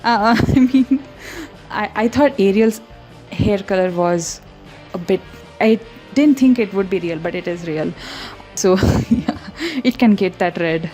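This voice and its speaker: A young woman from India speaks English as a second language, rambling happily in a casual voiceover with frequent little laughs and restarts. Her tone is mellow and warm rather than bright. Light music plays faintly under her voice. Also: mid-range voice, a little slow, long pauses. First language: not English. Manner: rambling, chatty, frequent giggles and self-corrections. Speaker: art channel host. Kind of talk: casual voiceover over a speedpaint.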